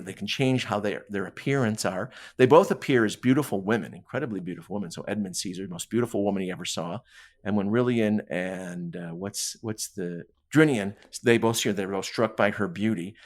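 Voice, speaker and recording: A man with a fairly low voice talks about books as an interview guest. He is conversational and emphatic, punctuating his points with little questions. He slips into imagined dialogue to explain what he means. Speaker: guest author on a podcast. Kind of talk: animated literary discussion in conversation.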